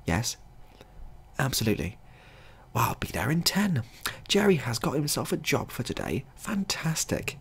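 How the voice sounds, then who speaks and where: A man's voice: medium pitch, big pitch swings, normal speed, gravelly, fairly bright tone, breathy edge, heavily acted. A man voicing a fussy, polite male substitute teacher in a short story, hesitant and proper in his manner. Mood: pleased.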